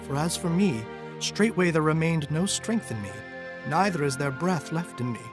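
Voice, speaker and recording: A man playing an awed prophet who recounts a holy vision in a solemn, measured dramatized scripture narration. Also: on the high side, lively intonation, close to an everyday voice. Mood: humble, weak. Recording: music behind the voice.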